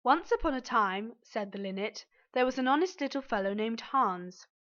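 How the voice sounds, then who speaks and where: A woman narrates a fairy tale from a script. Her voice is fairly high and bright, though the recording is slightly muffled. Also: lively intonation, normal speed. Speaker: storyteller.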